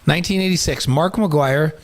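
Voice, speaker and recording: A man's voice: a little high, moderate pace. A middle-aged man co-hosting a sports talk show, mixing casual banter with short read-out items. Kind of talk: casual banter reading on-this-day history items.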